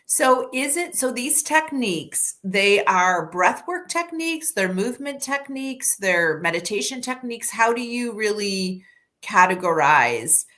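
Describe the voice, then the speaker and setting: A woman's voice: a little high, animated, measured pace, bright, forceful tone. A woman hosting a spiritual podcast interview, affirming her guest and asking curious questions. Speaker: podcast host. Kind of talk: conversational interview.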